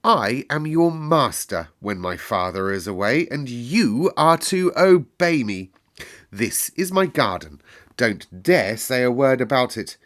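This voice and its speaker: A man's voice: medium pitch, big pitch swings, takes it slowly, fairly bright tone, pitched above the performer's own voice. A man voicing an imperious, indignant young boy in a storybook reading. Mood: commanding. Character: haughty, furious young boy, imperious invalid master.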